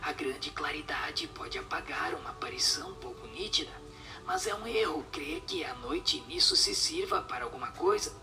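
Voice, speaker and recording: An actor voicing a solemn spirit that gives measured, instructive answers in a breathy whisper in a radio drama. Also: medium pitch, expressive, distant mic, over music, natural voice.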